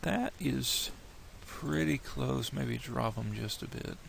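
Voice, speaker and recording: A man with a cold and a slightly nasal voice, walking through a software tutorial in a relaxed, rambling way, thinking aloud at his computer. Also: medium pitch, slow, vocal fry, a little hiss or hum. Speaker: tutorial creator.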